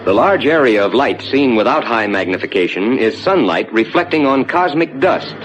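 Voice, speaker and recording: Man acting as assured 1950s rocket captain, animated intonation. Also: close to an everyday voice. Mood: assured, explanatory. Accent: American. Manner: measured, explanatory, reassuring. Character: assured male rocket ship captain guiding passengers through space. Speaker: captain and tour narrator. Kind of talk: 1950s scripted theme park ride narration. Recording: dull 1950s soundtrack with loud rumbling noise.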